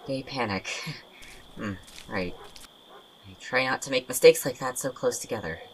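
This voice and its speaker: A woman playing a tired, guarded young friend with wry, deadpan humour in an audio drama. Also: extremely low pitch, normal speed, slight background noise, low-quality recording. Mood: weary. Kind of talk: naturalistic audio drama acting.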